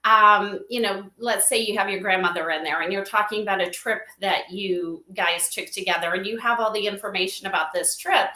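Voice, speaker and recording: A woman's voice: medium pitch, fairly even intonation, brisk, few pauses, sharp tone. A woman guest on a livestream interview, explaining things informally and often checking in with "right?" and "you know". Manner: informal, filler-heavy, seeks agreement with "right?" and "you know". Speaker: interview guest, company advisor. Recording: roomy, echoey livestream audio.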